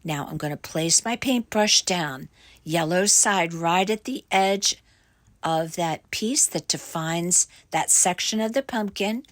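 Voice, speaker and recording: Slow, raspy woman with a cold. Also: on the low side, no room sound. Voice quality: raspy, croaky. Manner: slow, explanatory, with fillers and self-corrections. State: hoarse from a cold. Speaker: craft tutorial host. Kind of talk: step-by-step craft tutorial voice-over.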